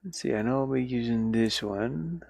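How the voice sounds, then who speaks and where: A man's voice: mid-range voice, normal speed, gravelly, a little mellow, no echo. A man in casual solo livestream chat, rambling and muttering as he thinks aloud while working, recorded inside a van. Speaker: livestreamer and indie game developer.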